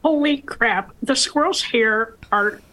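A woman's voice: fairly high pitch, slow pace, pushed and bright, low-quality recording. A woman co-host chatting casually, blunt and swearing freely. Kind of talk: casual chat.

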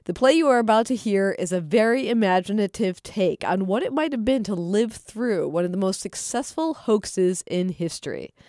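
A woman giving a scripted podcast introduction as host, in a measured storytelling manner. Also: mid-range voice, darkish tone, dry recording, studio quality.

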